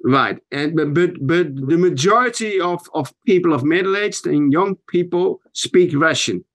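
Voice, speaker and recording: A Dutch-accented man conducts an interview in English, stalling and restarting his questions as he searches for words. His voice sits fairly high for a man. The sound is dry and close, with no room echo. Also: animated, fairly bright tone. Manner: halting, repeats words while searching for phrasing. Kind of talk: conversational interview.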